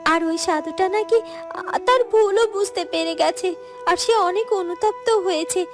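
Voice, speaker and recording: A woman's voice: very high-pitched, faint music behind. A woman voicing a tender-hearted, sorrowful young orphan in a cartoon, speaking in an earnest, emotional way. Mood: concerned. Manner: earnest, emotional, halting repetitions. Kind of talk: Bengali fairy-tale cartoon acting.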